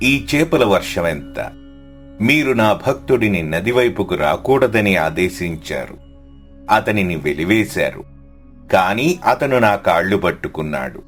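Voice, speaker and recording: A man plays a majestic god in a devotional tale. He speaks solemnly and with command in a fairly low voice, over faint music. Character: majestic male god, lordly protector of his devotee. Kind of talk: scripted story dialogue.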